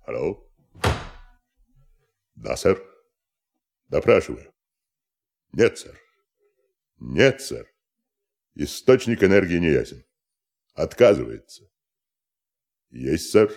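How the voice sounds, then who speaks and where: An actor in a radio play playing a stern, suspicious army general with a clipped, officious, interrogating manner. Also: male voice, deep voice, sing-song, slow pace, gravelly, long pauses, a little mellow, heavily acted. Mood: obedient, official. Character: stern, suspicious male army general guarding his country.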